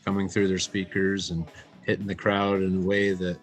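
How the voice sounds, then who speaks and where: A man's voice: deep voice, completely flat delivery, moderate pace, faint music behind. A man working as a concert promoter, talking casually with some hesitations in a documentary interview.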